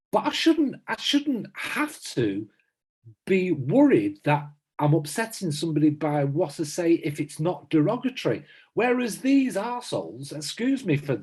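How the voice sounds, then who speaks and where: A man's voice: on the high side, darkish tone, husky. A man in his fifties from south Manchester who talks emphatically as a podcast co-host, repeating himself to stress his points. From south Manchester, England. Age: 50s. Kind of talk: casual chat.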